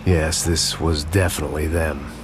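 An actor playing a world-weary, cynical middle-aged American ex-cop, narrating a hard-boiled noir video game in a sardonic deadpan. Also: male voice, rumbling low, moderate pace, noisy background. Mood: bitter, sardonic. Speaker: narrating lead character.

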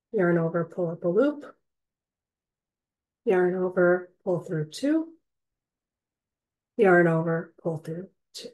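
A woman with a slightly raspy, cold-hoarse voice, patiently teaching a crochet class step by step and counting stitches aloud. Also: on the low side, darkish tone, rough recording. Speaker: crochet instructor. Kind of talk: step-by-step craft tutorial. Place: indoors, online class.

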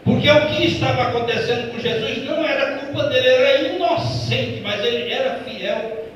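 A man with a Brazilian Portuguese accent preaching a Pentecostal sermon, swinging from quiet, fast asides to loud, drawn-out proclamations and calling his listeners "brother". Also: very high-pitched, a little hiss or hum. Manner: addresses listeners as "irmão" and asks them questions. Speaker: pastor. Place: church sanctuary. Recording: echoey church hall from a distance.

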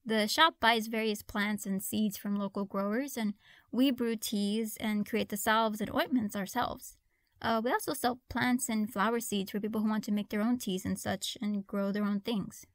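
A woman's voice: mid-range voice, fairly even intonation, moderate pace, no echo, natural voice. A woman playing a friendly, awkward young witch in a conversational audio roleplay, hesitant and apologetic. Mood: friendly, chatty.

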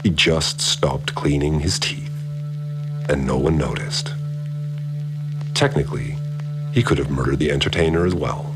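An actor playing a brooding, methodical investigator in an audio drama, speaking in a measured, deliberate, analytical way. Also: male voice, extremely low pitch. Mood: intrigued, deliberate. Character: methodical, brooding male investigator puzzling over a murder. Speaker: narrator investigator. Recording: music behind the voice. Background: music.

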